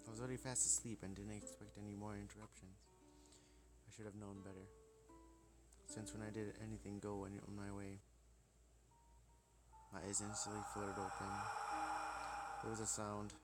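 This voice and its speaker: A man's voice: fairly low pitch, level tone, moderate pace, long pauses. A man reading first-person visual novel narration aloud. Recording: loud music behind voice. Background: music.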